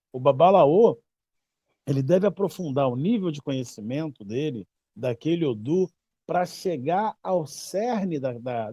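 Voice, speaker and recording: A man's voice: fairly high pitch, dry recording. Emphatic Brazilian man in his fifties. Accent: Brazilian Portuguese. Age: 50s. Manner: emphatic, repeats phrases, lively storytelling. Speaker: babalawo teacher. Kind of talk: casual explanatory talk.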